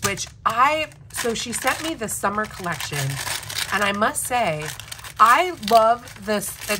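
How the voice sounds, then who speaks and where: A woman with a slightly raspy voice from a recent illness chats casually and eagerly at her desk, now and then clearing her throat. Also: medium pitch, noisy background. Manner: enthusiastic, chatty, frequent throat clearing. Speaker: planner vlogger. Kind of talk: casual unboxing chat. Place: home desk.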